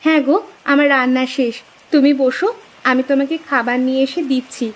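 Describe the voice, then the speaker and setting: A woman voicing a village housewife in a cartoon, caring yet sharp-tongued, with expressive, theatrical delivery. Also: sits high, brisk, natural voice. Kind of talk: Bengali cartoon dubbing.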